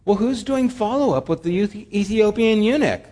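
A pastor giving a conversational sermon with humorous asides. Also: male voice, high-pitched, expressive, moderate pace, mellow, long reverb tail.

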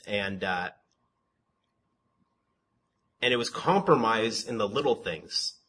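A man teaching a Bible study in a conversational sermon style. He asks rhetorical questions, repeats himself, restarts words, and calls his own voice monotone. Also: on the low side, husky.

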